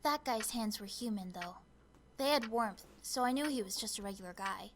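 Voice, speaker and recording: A woman's voice: on the high side, normal speed, close to an everyday voice. An actress playing an observant young female witness in a game, speaking earnestly and a little hesitantly. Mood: earnest, uneasy. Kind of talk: game drama dialogue. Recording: clear ticking background noise.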